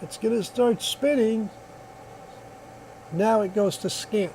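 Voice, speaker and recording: A man's voice: high-pitched, slow, darkish tone, slight background noise, low-quality recording. A man giving a casual hands-on product demonstration in a plainspoken, folksy, reassuring way, recorded on a phone.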